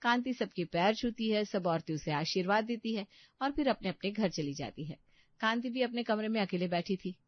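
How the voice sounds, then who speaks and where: A woman's voice: mid-range voice, expressive, moderate pace, no echo, muffled recording. A woman narrating a scripted family story as a storyteller.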